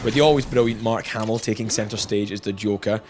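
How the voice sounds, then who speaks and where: A man's voice: on the low side, steady tone, fairly quick, noisy background. A man narrating a scripted video game review with jokey, chuckling asides.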